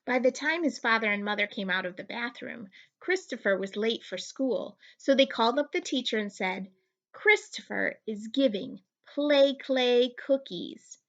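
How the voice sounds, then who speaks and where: A woman with a high, bright voice reads a picture book to young children. She takes her time and swings her pitch widely, playing with every sound word. The recording is slightly dull. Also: moderate pace, few pauses. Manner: expressive, playful sound-effect words. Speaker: first grade teacher reading aloud. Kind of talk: animated children's picture book read-aloud.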